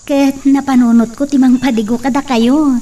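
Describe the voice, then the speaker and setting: A woman's voice: high voice, steady tone, normal speed, mellow. A young woman playing a polite, reassuring young village woman. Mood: kind. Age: young adult. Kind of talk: amateur drama acting. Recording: distant mic with faint insect noise.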